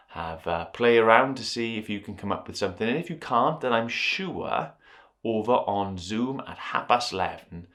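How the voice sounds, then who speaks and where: A man's voice: fairly low pitch, fairly bright tone. A man presenting and reading a children's Bible story in a chatty, storytelling style.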